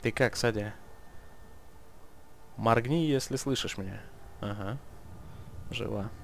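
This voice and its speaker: A man's voice: lowish voice, takes it slowly, creaky, slight background noise. A man giving casual gameplay commentary, muttering item names and making dry, sarcastic asides. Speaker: let's play host. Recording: voice over game music.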